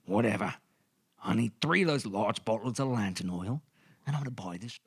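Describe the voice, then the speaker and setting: A man voicing a rotund, impatient male shop customer with a rough, gruff, curt delivery in an amateur audio drama. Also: lowish voice, lively intonation, moderate pace, pitched below the performer's own voice. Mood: grumpy.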